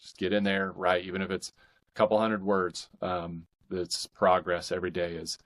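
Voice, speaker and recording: A man's voice: deep voice, flat delivery, normal speed, a bit bright. A man talking casually as an author guest in a podcast interview.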